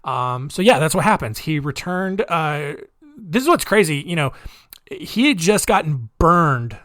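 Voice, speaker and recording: A man hosting a casual storytelling podcast, chatty and animated, talking straight to his listeners as guys with lots of asides, moving between loud emphasis and quiet remarks. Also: on the high side, measured pace, dry recording, clean studio sound. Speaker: podcast host.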